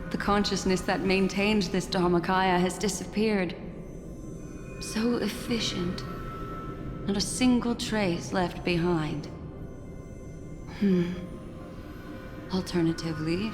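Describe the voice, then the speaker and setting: An actress voicing a mysterious, curious woman who muses in slow, measured lines, in a game cutscene. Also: lowish voice. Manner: slow, measured, intrigued musing. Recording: game cutscene with reverb and music.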